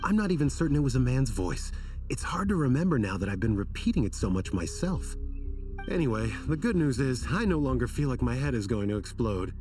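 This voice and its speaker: An actor playing an earnest, troubled and apologetic desert scholar in a video game, wordy and theatrical in manner. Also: male voice, mid-range voice, sing-song, fairly quick, a little mellow, recorded from a distance, soft background music, close to an everyday voice. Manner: wordy, self-conscious, theatrical.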